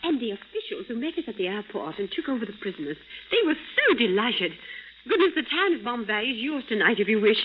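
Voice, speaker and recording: Romantic sweetheart, tinny old radio. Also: female voice, on the high side, sing-song, fast, slightly rough. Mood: admiring. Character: admiring, romantic young woman, adventurer's sweetheart. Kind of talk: 1940s radio romance-adventure acting.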